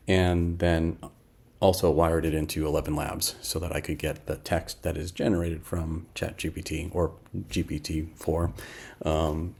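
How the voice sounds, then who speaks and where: Man, 50s, very deep and slightly rough-voiced, informal and explanatory tech demo presenter. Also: darkish tone. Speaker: app developer presenting a demo. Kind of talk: casual screen demo narration.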